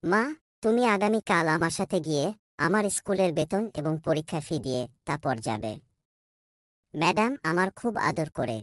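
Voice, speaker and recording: A woman's voice: on the low side, expressive, moderate pace, dry recording, studio quality, lightly acted. A woman voicing a polite, earnest young schoolboy in a cartoon. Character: polite, eager young schoolboy from poor family. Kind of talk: cartoon dialogue.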